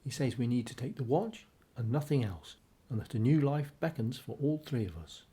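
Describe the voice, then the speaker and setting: An actor playing an ordinary, wary husband with a dry conversational manner in a radio drama. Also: male voice, medium pitch, animated, normal speed, dark tone, slightly rough. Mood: resolved, hushed.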